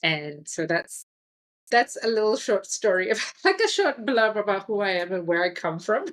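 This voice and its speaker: A woman's voice: mid-range voice, big pitch swings, normal speed. A woman in her thirties from Singapore, a podcast guest talking in a friendly, reflective way with easy laughs. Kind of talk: casual interview chat.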